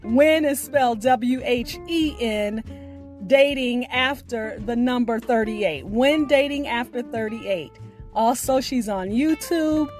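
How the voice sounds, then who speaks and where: A woman hosting a podcast, reading scripted announcements in a deliberate, carefully enunciated way. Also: a little high, darkish tone, faint music behind. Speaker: podcast host.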